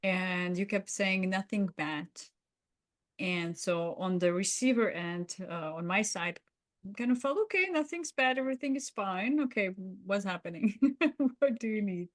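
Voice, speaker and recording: A woman's voice: medium pitch, measured pace. A woman co-hosting a conversational podcast, speaking in an encouraging, affirming way. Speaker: podcast co-host. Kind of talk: roleplay and conversational debrief.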